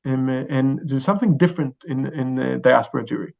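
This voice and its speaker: A man in his forties with a gravelly, creaky voice and an Israeli accent gives explanatory interview answers. He speaks haltingly, searching for words, and the audio is slightly dull. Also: mid-range voice, a little mellow. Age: 40s. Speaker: chief rabbi, interview guest.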